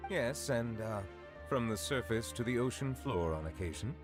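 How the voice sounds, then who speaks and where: A man's voice: medium pitch, expressive, moderate pace, natural voice. Man voicing a formal ancient dragon judge. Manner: formal, stiff, earnest. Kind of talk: game dialogue acting. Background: game music.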